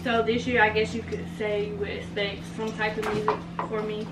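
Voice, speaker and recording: A young woman with a soft voice chatting casually over a meal as a vlog host. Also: medium pitch, level tone, brisk. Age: young adult. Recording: distant, echoey room with loud noise.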